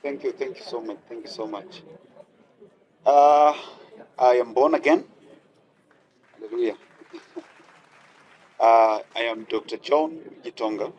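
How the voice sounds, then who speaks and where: A man's voice: mid-range voice, a little slow, long pauses, darkish tone, slightly rough, rough recording. A man playing a friendly doctor and counselor in a radio drama, engaging listeners as an interactive guest speaker. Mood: friendly, appreciative. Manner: engaging the audience with questions and thanks. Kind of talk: radio drama motivational talk.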